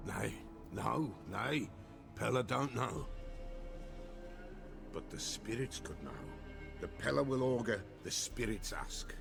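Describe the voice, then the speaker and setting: Eccentric fantasy village seer, creaky voice, wildly swooping pitch, archaic cryptic speech, music underneath. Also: male voice, expressive, slow, pauses often, exaggerated voice. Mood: cryptic, earnest. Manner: archaic riddling speech, refers to himself in third person. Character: eccentric superstitious folk seer, adult man, excitable and cryptic. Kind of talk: theatrical fantasy game acting. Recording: music behind the voice.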